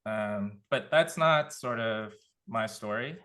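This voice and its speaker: A man from California giving a relaxed career talk as a panelist, thinking aloud with many fillers. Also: lowish voice, slow. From Contra Costa County, California. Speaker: panelist, nonprofit director.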